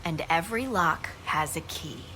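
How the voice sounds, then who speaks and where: An actress playing a brisk, observant female expedition leader in a video game, practical and matter-of-fact. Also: low voice, animated, noisy background. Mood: observant, focused. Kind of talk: video game cutscene acting. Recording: long hall reverb, game music behind.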